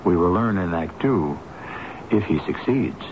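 A man narrating a radio mystery drama as host, in a measured, rhetorical and ominous manner. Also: low-pitched, animated, heavy background noise. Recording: dull old radio broadcast with music.

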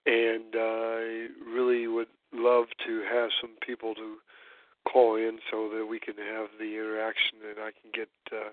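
A hoarse, congested man hosting a church call-in roundtable, speaking haltingly with many fillers. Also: on the low side, completely flat delivery, dry recording. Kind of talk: hesitant spoken discussion. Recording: dry tinny phone line.

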